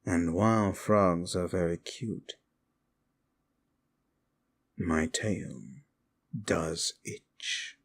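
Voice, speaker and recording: A sly male demon played by a man speaks in a low voice and takes his time with every phrase. He is a wicked manipulator in an intimate ASMR audio roleplay, teasing his listener with condescending ease. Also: steady tone, extremely slow, vocal fry, long pauses, full character voice. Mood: sly, brooding.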